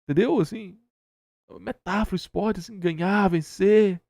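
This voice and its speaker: Sarcastic Brazilian man, creaky higher-set voice. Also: measured pace, a little mellow, no room sound. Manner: sarcastic, argumentative, emphatic. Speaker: reaction show co-host. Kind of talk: casual commentary reacting to a video.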